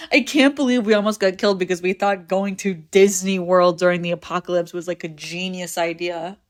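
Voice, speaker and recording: A woman performs a devoted young woman surviving a zombie apocalypse in an intimate audio drama. She is clingy and emotional, talking closely to her one friend. Her voice sits at an average pitch for a woman, with a conversational, insistent manner. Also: close to an everyday voice. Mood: fond reminiscing. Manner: emotional, pleading, swinging between insistent and hushed. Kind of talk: scripted audio roleplay acting, intimate and conversational.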